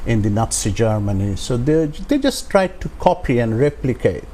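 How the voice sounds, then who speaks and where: A man in his sixties with a Bangladeshi accent tells his own story as an interview guest, haltingly, with filled pauses. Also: medium pitch, big pitch swings, mellow, slight background noise. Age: 60s.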